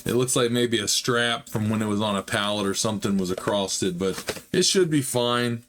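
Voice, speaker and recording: Slightly hoarse, easygoing man vlogging. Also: on the low side, moderate pace, a bit bright, a little hiss or hum. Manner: easygoing, rambling, frequent "uh" fillers. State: slightly hoarse, recovering from a chest illness. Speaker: hobby model-building channel host. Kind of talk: casual build vlog narration.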